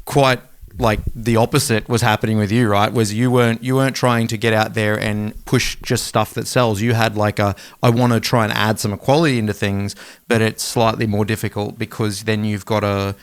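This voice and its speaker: A man's voice: lowish voice, level tone, moderate pace, smooth, dry recording. Australian male podcast co-host, matey and informal, very dry recording. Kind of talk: casual chat.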